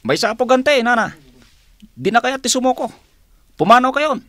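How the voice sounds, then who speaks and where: A man's voice: very high-pitched, expressive, moderate pace, pushed and bright, no room sound, full character voice. An Ilocano Filipino man playing a firm policeman warning people away in a radio drama. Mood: urgent, firm.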